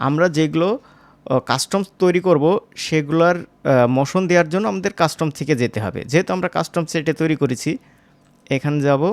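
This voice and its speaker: A man teaching as a software instructor, informal and step by step, often checking in with short tag questions. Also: fairly high pitch, dry recording. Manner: conversational, seeking agreement with short tag questions. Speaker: animation tutorial instructor.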